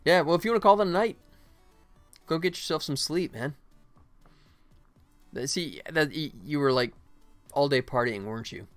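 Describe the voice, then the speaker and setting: A man's voice: fairly high pitch, moderate pace, long pauses, soft background music. A man chatting casually as a livestream host and indie developer, rambling and thinking aloud while he works.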